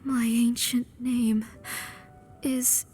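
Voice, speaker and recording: An actress playing a grief-stricken mother in denial, pleading and halting, in a dramatic video game. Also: a little high, level tone, dark tone, a little hiss or hum. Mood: broken grief. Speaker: voice actor.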